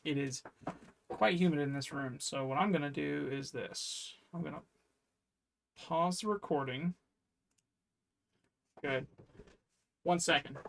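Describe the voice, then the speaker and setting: A man's voice: fairly high pitch, takes it slowly, creaky, long pauses, a bit bright, dry recording. A man streaming from a hot room, his voice crackly and strained, chattering to himself while he plays. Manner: rambling, talking to himself while playing. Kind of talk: casual live-stream chatter. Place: hot, humid room with two PCs.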